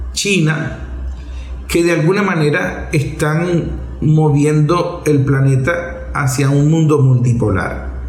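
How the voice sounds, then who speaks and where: A man's voice: a little high, darkish tone. A Venezuelan man hosting a political programme, giving off-the-cuff commentary in a didactic, emphatic way. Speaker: host of a political opinion programme. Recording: roomy echo on the voice.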